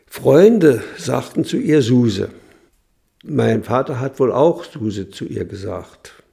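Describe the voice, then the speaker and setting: Older male interviewee, very creaky mid-range voice, reminiscing haltingly with sudden emphatic bursts. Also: mid-range voice, animated, dark tone. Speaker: interviewee, son recalling his parents. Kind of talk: spontaneous reminiscence.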